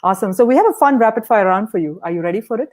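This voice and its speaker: A woman with a medium-pitched voice hosts a podcast interview. She speaks conversationally, putting questions to her guest in an encouraging, appreciative way. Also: animated, rapid-fire, a little mellow.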